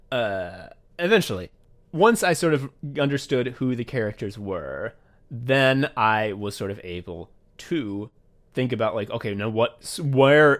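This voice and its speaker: A man chatting casually as a podcast host, rambling and swearing as he retells things and quotes himself. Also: lowish voice. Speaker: podcast host and audio drama writer. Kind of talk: casual behind-the-scenes podcast chat.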